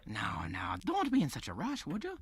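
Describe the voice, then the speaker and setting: A man's voice: a little high, huge pitch swings, moderate pace, vocal fry, husky, full character voice. A man playing a genial parish storyteller, telling a fireside tale theatrically. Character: genial Irish parish storyteller, Mister Clancy.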